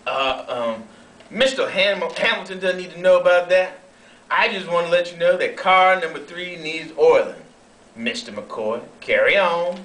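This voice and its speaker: A man's voice: sits high, a little slow, fairly bright tone. A man playing an officious, self-important railroad underling in an amateur read-through of a period sketch, speaking formally. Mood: flustered, officious. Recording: echoey room, distant mic.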